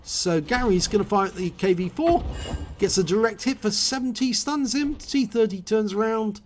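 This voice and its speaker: A man with a hoarse voice after a cold, calmly explaining and calling the play in a video game replay. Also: high-pitched, normal speed, slight background noise.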